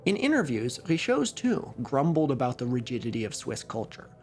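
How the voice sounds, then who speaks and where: A man from Oregon narrating a documentary in a wry, conversational storytelling manner. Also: normal speed. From Oregon, USA. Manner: wry, conversational storytelling with lively pitch swings.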